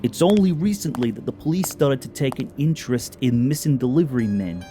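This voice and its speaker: A man's voice: medium pitch, lively intonation, a little fast, music in the background, lightly acted. A man playing an alien villain who poses as a brusque American police detective in an audio drama, smug and theatrical. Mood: sly, explanatory.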